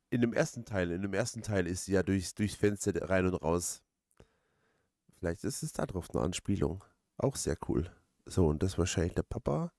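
A man giving casual gaming commentary, halting and repeating words as he rambles. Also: medium pitch, darkish tone, no room sound, clean studio sound. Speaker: let's play host.

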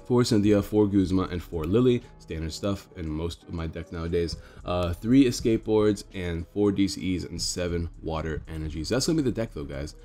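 A man's voice: sits low, normal speed, hardly any pauses, mellow, soft background music. A young man with a stuffed-up nose casually explaining his card deck to viewers, rambling and talking to them as guys. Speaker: card game streamer. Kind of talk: casual deck tech commentary.

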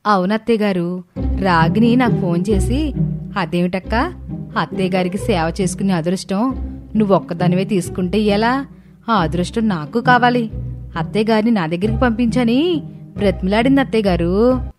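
A woman's voice: medium pitch, normal speed, pauses often, natural voice. Scheming daughter-in-law, two-faced, dramatic, insincere, cartoon villain, acted by a woman, music underneath. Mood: wheedling.

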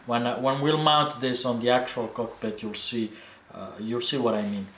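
In a roomy, echoing indoor workshop with some noise behind him, a man in his forties explains a DIY job. He talks casually and haltingly, thinking aloud, picked up by a camera mic at some distance. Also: mid-range voice, steady tone, a bit bright. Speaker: DIY video maker. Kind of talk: casual hands-on workshop tutorial narration. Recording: echoey room, faint background noise.

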